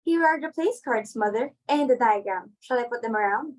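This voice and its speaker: A woman's voice: on the high side, expressive, moderate pace. A woman playing a timid, reluctant young society daughter in an amateur reading of a stage comedy. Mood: helpful.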